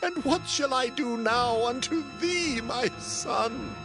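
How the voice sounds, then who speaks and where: A man's voice: extremely high pitch, slow, over music, heavily acted. An actor playing an aged, solemn patriarch father in a dramatized scripture reading, speaking with emotion. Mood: shaken, grieved. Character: aged patriarch father, solemn, trembling, blessing his son.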